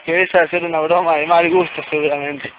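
A man's voice: a little high, little pitch movement, fast talker, close to an everyday voice. An amateur man actor playing a suspicious man seeking the truth about his brother, with an Argentine accent, in a radio play. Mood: incredulous, demanding. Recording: noisy, muffled amateur radio drama recording.

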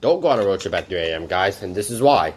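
A man's voice: low-pitched, animated, quick pace, a little hiss or hum. A man hosting a reaction video, rambling casually and addressing viewers as "guys" in nearly every sentence. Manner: rambling, says "guys" constantly. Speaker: reaction channel host.

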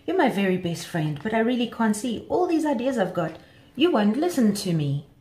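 A woman's voice: a little high, big pitch swings, moderate pace, warm tone, reverberant, slight background noise, voice pushed up. A woman voicing an eager, earnest young female mosquito in a children's story, a loyal and pleading friend. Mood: earnest, hurt.

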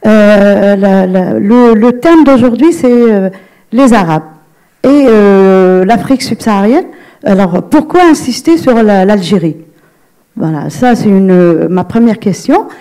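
A woman from Algeria asking a question from the audience, halting, with many restarts and fillers. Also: medium pitch, expressive, warm tone. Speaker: audience member.

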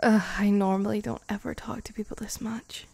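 A woman voicing a devoted vampire girlfriend in an intimate ASMR roleplay, breathy and often slipping into a whisper. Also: mid-range voice, level tone. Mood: weary, playful. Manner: slow, intimate, hushed, sighing. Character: devoted, lovestruck vampire bride, tipsy and intimate.

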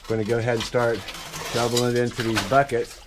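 A man's voice: mid-range voice, little pitch movement, fast talker. A middle-aged man explains a drainage job while he works in a dug-out basement, speaking plainly and practically. He is recorded on a head-mounted camera, with a faint hiss of noise underneath his voice. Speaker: drainage contractor. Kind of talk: on-site how-to walkthrough. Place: dug-out basement crawl space. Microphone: head-mounted action camera.

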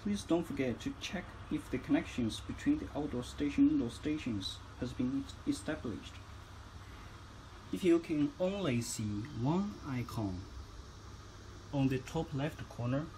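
A man's voice: medium pitch, normal speed, dark tone, a little hiss or hum, rough recording. A man giving instructional tutorial narration as a product demonstrator, phrasing each step carefully.

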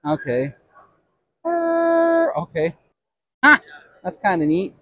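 Man, middle-aged, slightly high husky voice, casual shopping chat, vlogger. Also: very animated, slow, a bit bright, muffled recording. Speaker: vlogger shopping at a swap meet. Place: large busy indoor swap meet hall.

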